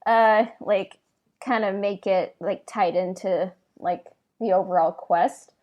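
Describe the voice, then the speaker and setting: A woman's voice: medium pitch, steady tone, takes it slowly, darkish tone. Woman thinking aloud on a podcast. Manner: informal, tentative, thinks aloud in fragments. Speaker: podcast co-host. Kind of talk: casual podcast discussion.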